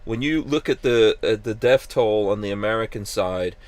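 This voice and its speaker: A man's voice: fairly low pitch, moderate pace, darkish tone. A man from Guyana hosting a podcast, talking emphatically in casual opinion chat and firing rhetorical questions. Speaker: podcast host.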